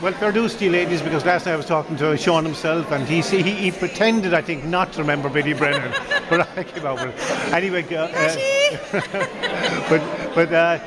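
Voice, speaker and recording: A man's voice: a little high, very animated, normal speed. An Irish man doing event interviews for radio, stammering and repeating his words. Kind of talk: vox-pop interviewing at a launch event. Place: indoor arts centre during a busy event. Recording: busy crowd chatter in venue. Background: crowd chatter.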